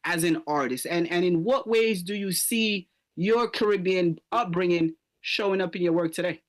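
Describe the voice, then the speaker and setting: A man's voice: high-pitched, moderate pace. A man hosting a radio interview show, upbeat and friendly, often repeating words as he starts his questions.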